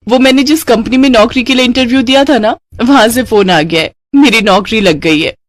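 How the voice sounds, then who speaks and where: A woman voicing a haughty, boastful young daughter-in-law in a cartoon story, sharp and condescending. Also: on the high side, a little fast, no echo, voice pushed up, natural voice. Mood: excited, sweet.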